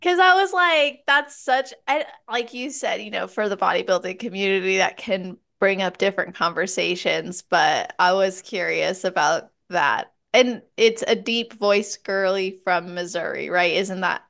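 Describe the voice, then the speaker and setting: A woman's voice: mid-range voice, unhurried, gravelly, few pauses, fairly bright tone. Bubbly woman hosting a podcast. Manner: bubbly, laughs often. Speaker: podcast host. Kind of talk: casual interview chat.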